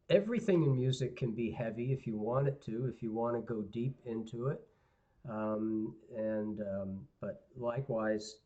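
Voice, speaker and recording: A male guitar teacher giving a live online lesson, hoarse and raspy, clearing his throat often, talking to viewers in a relaxed, rambling way. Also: mid-range voice. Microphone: computer mic on a live stream. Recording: dull lo-fi livestream audio.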